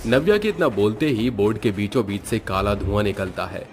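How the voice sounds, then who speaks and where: A man's voice: lowish voice, a little fast, a little mellow. A man narrating a scripted horror story as its storyteller. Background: faint background music.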